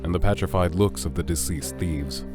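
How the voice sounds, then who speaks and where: A man's voice: deep voice, normal speed, music in the background. A man reading scripted narration for a fantasy audio drama.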